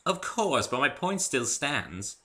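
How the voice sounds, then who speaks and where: A man voicing a refined, gossipy female unicorn fashion designer in a story reading, posh and dramatic with drawn-out emphasis. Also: medium pitch, sing-song, sharp tone, pitched above the performer's own voice, exaggerated voice. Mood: regretful.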